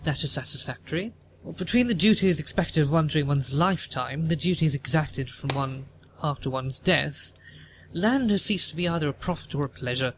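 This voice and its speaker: A haughty older upper-class matriarch from a period audio play, acted by a man. The voice sits fairly high for a male performer and interrogates with pompous disapproval. Noticeable noise runs behind the recording. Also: lively intonation, moderate pace. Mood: imperious, interrogating. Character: haughty, imperious upper-class Victorian mother, older woman. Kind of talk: theatrical audio drama acting.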